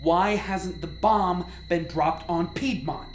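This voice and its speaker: In a roomy radio-play recording, a woman plays a female team leader with a lowish, measured voice. She is authoritative and short on patience, giving orders to her scientists. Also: a little hiss or hum. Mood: angry, exasperated. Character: commanding, exasperated woman leading a scientific team. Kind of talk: radio drama acting. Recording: roomy echo, faint music bed.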